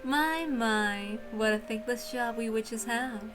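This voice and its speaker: A woman's voice: a little high, slow pace. A woman voicing a haughty, teasing, prank-loving witch in a theatrical visual novel read-aloud, mocking and grandly condescending. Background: music.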